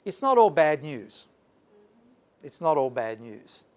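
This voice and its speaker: A man's voice: a little high, big pitch swings, takes it slowly. A male lecturer giving a live workshop talk to students, emphatic and repeating points for stress. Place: lecture room.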